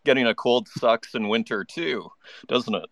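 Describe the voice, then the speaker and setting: A man's voice: medium pitch, moderate pace, a bit bright, no room sound. A man hosting a live online audio interview, chatty and enthusiastic, often restarting his sentences. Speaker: podcast host.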